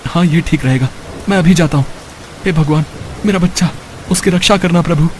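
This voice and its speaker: A man's voice: fairly high pitch, a little fast, lightly acted. Guy acting a poor worried village dad, earnest, cartoon story, noisy background. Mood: pleading. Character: poor worried labourer father, humble and anxious. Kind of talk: Hindi cartoon story character voice.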